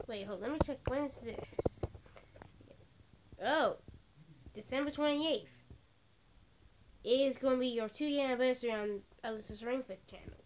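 A young girl chatting with a friend during a game video, rambling with lots of pauses and self-corrections. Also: high-pitched, darkish tone, low-quality recording. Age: child. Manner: rambling, many fillers and restarts. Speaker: friend co-hosting. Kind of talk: casual chat.

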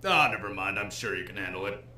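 A man playing a sarcastic, exasperated green alien warrior in an anime parody, with a gravelly, dry delivery. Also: low-pitched, animated, quick pace, bright, forceful tone, exaggerated voice. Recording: echoey, noisy playback in a room.

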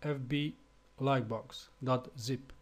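A man from Slovenia with a Slovenian accent, speaking English as a second language in a halting screencast tutorial with fillers and restarts. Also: medium pitch, fairly even intonation. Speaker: web developer.

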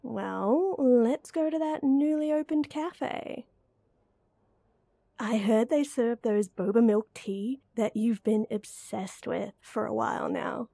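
A woman's voice: fairly high pitch, slow, gravelly, mellow, no room sound, exaggerated voice. A young woman voice actor playing a playful, teasing close friend in a roleplay audio, speaking to the listener in a giggly, coaxing way.

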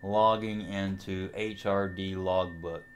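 A man giving an informal software tutorial, explaining things casually, step by step, as a voice-over. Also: sits low, fairly even intonation, takes it slowly, a little hiss or hum. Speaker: amateur radio tutorial host.

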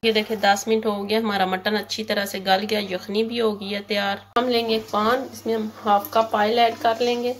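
A woman narrating a cooking recipe step by step, in a steady, instructive way, in a home kitchen. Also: medium pitch, moderate pace, hardly any pauses, a little hiss or hum. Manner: steady, instructive, repeats "ye dekhiye". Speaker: cooking channel host. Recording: loud kitchen sizzle behind.

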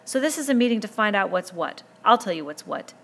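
Lady playing a mean kid hunter boss, dismissive and scornful, gravelly tone. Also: mid-range voice, sing-song, fairly quick, a bit bright, slight background noise, voice pushed up. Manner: sneering, dismissive. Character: scornful boy hunter leader, bullying.

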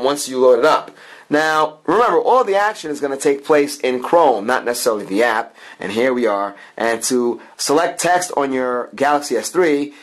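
A man hosting an informal, improvised product review, talking through a hands-on demo and often repeating his points. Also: mid-range voice. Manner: talks in plural "we", informal, improvised and repetitive. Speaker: app reviewer, channel host.

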